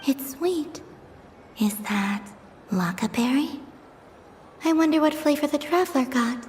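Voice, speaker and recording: A woman's voice: high-pitched, lively intonation, unhurried, darkish tone, a little hiss or hum. A woman voicing a serene, naive young moon goddess in a video game, curious and literal, speaking simply and earnestly.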